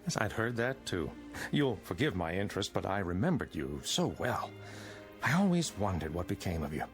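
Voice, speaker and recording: An actor playing a middle-aged financial consultant, unsettlingly polite and prying, with apologetic hesitations, in a vintage TV drama. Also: male voice, sits low, sing-song, moderate pace. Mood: smooth flattery. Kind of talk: mid-century American TV drama acting. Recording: vintage TV soundtrack with music behind.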